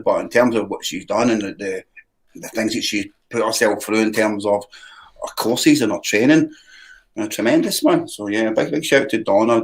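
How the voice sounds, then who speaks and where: A man with a low voice chats casually on a live stream. He praises a colleague and keeps adding "you know what I mean". He talks loosely and plainly. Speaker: community radio station organizer. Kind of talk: casual chat.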